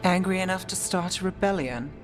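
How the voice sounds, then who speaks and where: Wry, composed game companion acted, middle register, measured short phrases, light music behind. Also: mid-range voice, lightly acted. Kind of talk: fantasy video game dialogue.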